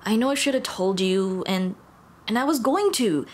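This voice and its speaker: A woman's voice: mid-range voice, expressive, normal speed, slight background noise, lightly acted. A woman playing a nervous, apologetic young female dullahan in an intimate ASMR roleplay, speaking hesitantly with stammers and self-deprecation. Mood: flustered, apologetic.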